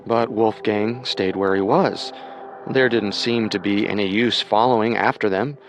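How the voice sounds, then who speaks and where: A man narrating a scripted true-crime story with measured, dramatic storytelling. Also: lowish voice, steady tone, a little hiss or hum.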